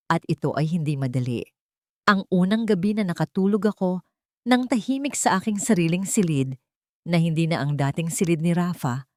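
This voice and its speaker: Low-pitched Filipino woman narrating, very dry sound. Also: a little mellow, no echo. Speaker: audio story narrator. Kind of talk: scripted dramatic first-person story narration.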